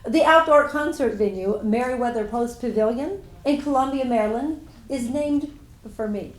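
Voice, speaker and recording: A dignified society lady introduces herself in a staged reading, played by a woman. Her voice sits slightly high and rings around an echoing room. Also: moderate pace, close to an everyday voice. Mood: proud. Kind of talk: dramatic reading, first-person monologue. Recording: roomy, echoing live event hall.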